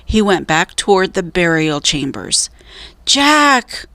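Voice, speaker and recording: Female storybook narrator, unhurried and deliberate, medium pitch, suspenseful scripted children's storytelling, dry recording. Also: fairly bright tone, dry recording. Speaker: storybook reader.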